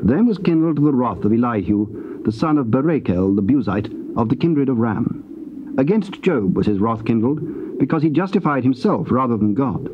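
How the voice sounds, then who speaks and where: A dull, noisy tape recording of a male narrator reading scripture. His voice sits at a middle pitch, and his delivery is formal and measured. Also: animated. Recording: muffled tape transfer, noisy.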